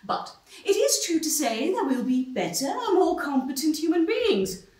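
A woman's voice: high-pitched, sing-song, normal speed, darkish tone. A woman playing an assertive TV debater. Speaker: debate guest. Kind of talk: stage play acting. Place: small stone-walled theater stage. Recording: roomy, distant stage sound.

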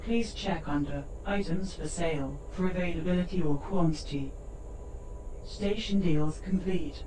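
A woman's voice: fairly low pitch, measured pace, warm tone, a little hiss or hum. A woman voicing a polite spaceship system computer, giving formal procedural announcements with an echoing computer effect. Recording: echoing voice under prominent music.

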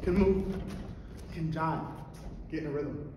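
A man in his thirties teaching a wrestling clinic from a stage in a big hall, brash and energetic, firing rhetorical questions and repeating cues while demonstrating moves. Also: on the high side, slow, noisy background. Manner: moving and grappling while talking. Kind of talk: live coaching demonstration. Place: stage in a large indoor convention hall. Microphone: far camera across the room. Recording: very echoey hall, voice from afar.

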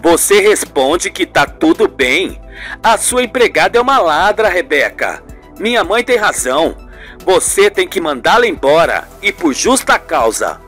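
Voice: medium pitch, very animated, unhurried, a bit bright, soft background music. A performer voicing an upset, doubtful grown man, a son in a radio soap, plaintive and questioning. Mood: indignant. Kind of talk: radio soap opera acting.

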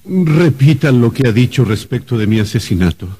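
An actor playing an outraged, whining murdered gentleman in a radio drama, melodramatic and indignant. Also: mid-range voice, animated, moderate pace, slightly rough. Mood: shocked. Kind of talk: Spanish-language radio drama acting.

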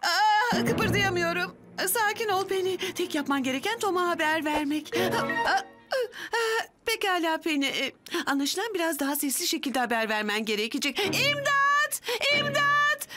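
A female firefighter in a children's cartoon. Her pitch is very high and her manner is brisk and sensible. Loud music plays underneath. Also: expressive. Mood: distressed. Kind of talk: animated children's series dub acting.

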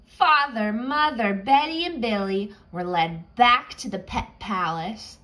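A woman reading a picture book aloud expressively for children, explaining as she goes like a teacher. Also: on the high side. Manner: slow, animated, explanatory storytelling. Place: indoors, picture book filmed from above.

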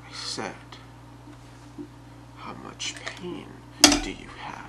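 A young man playing an obsessive, calmly menacing boyfriend in a halting, soft, half-whispered ASMR role play. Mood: calmly controlling. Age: young adult. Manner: halting, soft-spoken, unhurried. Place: small bedroom, indoors. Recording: very noisy webcam-style home recording.

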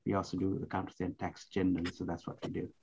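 Hesitant low-voiced man on a headset. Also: flat delivery, racing, darkish tone, slightly rough, highs cut off. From Sri Lanka. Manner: hesitant, many fillers and restarts. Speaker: guest accountant. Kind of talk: interview answers. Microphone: headset on video call.

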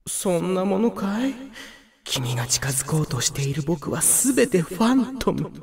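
A man's voice: sits high, very animated, normal speed, gravelly, a little mellow, heavily acted. A man voicing a gloomy, theatrical young demon villain in a video game, brooding and poetic, with grandiose melodrama. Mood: taunting. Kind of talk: Japanese video game character voice clips.

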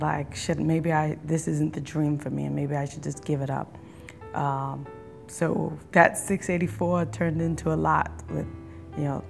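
Low flat gravelly woman, 30s, cafe owner from east harlem, lots of ums, lapel mic, faint music behind. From East Harlem, New York. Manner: candid, with many fillers and restarts. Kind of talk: interview. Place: brick-walled cafe, seated on a couch.

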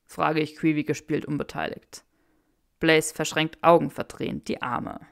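A woman narrating a story in the first person, in a low voice with a gravelly texture. Her delivery is measured and steady, in the manner of an audiobook reading. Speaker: audiobook reader.

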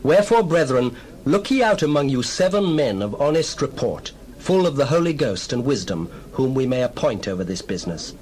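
An actor playing an authoritative apostle exhorting disciples in a dramatized Bible reading, formal and reasoned. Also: male voice, mid-range voice, big pitch swings, moderate pace, a little mellow, lightly acted, low-quality recording. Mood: earnest.

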